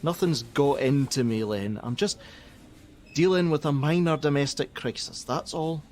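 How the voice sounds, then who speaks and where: A man acting a gruff, jaded helpline worker. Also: fairly high pitch, moderate pace, a little mellow, a little hiss or hum, lightly acted. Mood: angry. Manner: blunt, sardonic, defensive. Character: jaded, gruff, hot-tempered male crisis-line worker and father. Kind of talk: naturalistic radio play acting.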